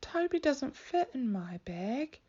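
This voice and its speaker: A woman's voice: sits high, sing-song, slow, warm tone, husky, dull audio, pitched above the performer's own voice, exaggerated voice. A woman voicing a worried, hopeful little boy in an earnest, pleading way for a storybook.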